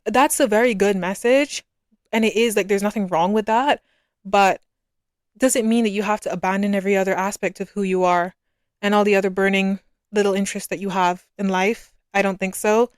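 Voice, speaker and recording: Casting: woman, early twenties, from Barbados, smooth clear voice, casual reflective podcast host, close dry sound. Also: medium pitch, fairly even intonation, brisk, no echo.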